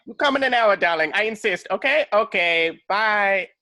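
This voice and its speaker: A woman's voice: medium pitch, animated, moderate pace, sharp tone. A woman playing an imperious, flamboyant costume designer in a script read-through, grand and insistent. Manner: grand, insistent, calls people darling. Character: imperious, flamboyant female super-suit designer. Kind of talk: online table read of a film script.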